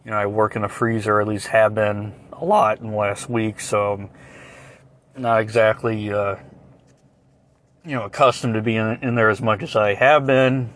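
A hoarse man hosting a solo podcast, chatting in a rambling, folksy, apologetic way as he gives a casual update. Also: on the low side, flat delivery, moderate pace. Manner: folksy, apologetic, mild euphemisms. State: hoarse, clearing throat. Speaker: podcast host.

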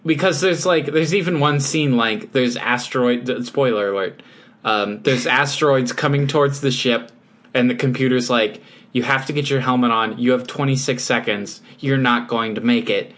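A man co-hosting a podcast in casual chat, easygoing and halting, with frequent "um"s. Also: medium pitch, fairly bright tone. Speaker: podcast co-host.

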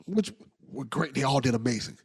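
A man's voice: medium pitch, animated, brisk, vocal fry, husky, no echo. A man hosting a podcast, talking in a casual, rambling, enthusiastic way with slang. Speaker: podcast host. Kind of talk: casual interview chat.

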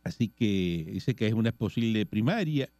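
A man's voice: on the low side, expressive, moderate pace, mellow, no echo. A Puerto Rican man hosting a casual radio talk show, reading political news and commenting on it in conversation. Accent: Puerto Rican Spanish. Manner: reads news items and comments on them conversationally.

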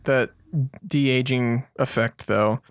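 A man's voice: mid-range voice, steady tone, takes it slowly, smooth, no room sound, studio quality. A man co-hosting a fan podcast, speaking casually in an earnest, conversational way. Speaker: podcast co-host.

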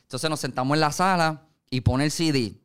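A man's voice: on the high side, brisk, clear, resonant tone. A man with a Puerto Rican accent, a music producer being interviewed, telling stories in casual conversation. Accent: Puerto Rican Spanish. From Puerto Rico.

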